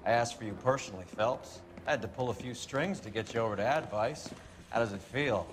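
An actor playing a cynical, brash 1940s American vice detective in a video game, talking in worldly slang. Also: male voice, mid-range voice, a little fast, a little hiss or hum, natural voice. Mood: smug, teasing. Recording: music behind the voice.

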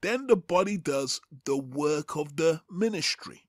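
A man teaching from the Bible as host, in a measured, deliberate and formal way. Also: on the high side, lively intonation, no echo, studio quality. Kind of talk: Bible teaching over slides, with prayer.

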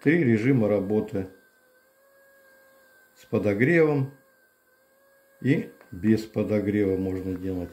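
An older man casually demonstrating a massager at home, explaining plainly with pauses and fillers. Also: lowish voice, a little mellow. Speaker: channel host reviewing a massager. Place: home room, indoors. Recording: echoey room.

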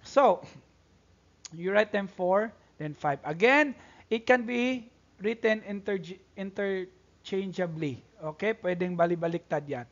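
A man's voice: sits high, a little slow. A man with a Filipino accent, a native Bisaya speaker, teaching step by step in a repetitive, instructional manner. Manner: instructional, repetitive, checks in often. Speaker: math teacher. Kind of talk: tutorial lesson, code-switching explanation. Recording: dull, lo-fi voice-over recording.

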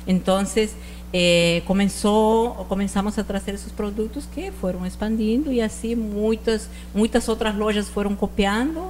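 A woman's voice: mid-range voice, fairly even intonation, moderate pace, a little mellow, a little hiss or hum. A woman in her forties from northern Argentina with an Argentine Spanish accent, talking as a shop owner in a studio interview, mixing Spanish words into her speech and restarting sentences often. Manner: mixes Spanish words into Portuguese, hesitant restarts. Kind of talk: casual interview answers. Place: TV studio set styled as rustic ranch. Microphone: handheld mic.

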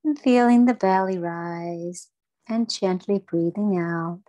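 Female meditation guide for kids, unhurried and gentle, encouraging instructions, very little room sound. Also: on the low side, no echo. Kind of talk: guided breathing meditation for children.

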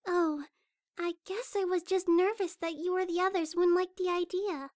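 Very high, shy little pony voiced by a woman. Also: steady tone, moderate pace, no room sound, clean studio sound. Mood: hesitant, timid. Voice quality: soft, quiet. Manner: hesitant, stammering. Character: shy, gentle, nervous young female pony. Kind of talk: amateur audio drama acting.

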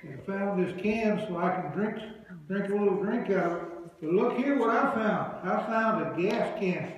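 A man's voice: high-pitched, expressive, normal speed, slight background noise. Folksy man telling tales in a church. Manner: folksy, chuckling. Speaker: costumed storyteller. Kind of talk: church stage storytelling. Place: church sanctuary stage. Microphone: far room mic. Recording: echoey sanctuary, far, dull.

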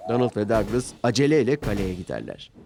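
A man's voice: fairly low pitch, huge pitch swings, brisk, gravelly, noisy background. A man narrating a scripted children's story as the storyteller. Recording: music bed behind narration. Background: music.

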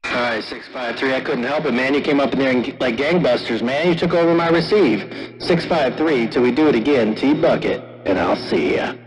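Man, mid-range voice, radio host, friendly chatter, drawn-out sign-offs. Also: medium pitch, rough recording. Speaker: radio operator, channel host. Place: Michigan radio station.

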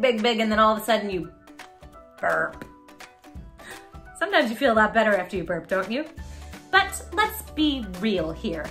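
A woman in her thirties chatting to young children as a story time host, in an expressive, exaggerated, affectionate manner. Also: on the high side. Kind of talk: animated chat to young children. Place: living room sofa, indoors.

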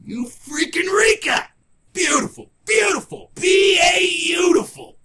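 A man's voice: very high voice, animated, takes it slowly, background noise, exaggerated voice. A man voicing a manic, gleefully pompous masked superhero in a comic book audio drama, theatrical and singsong. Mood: gloating. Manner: theatrical, singsong, mock-heroic.

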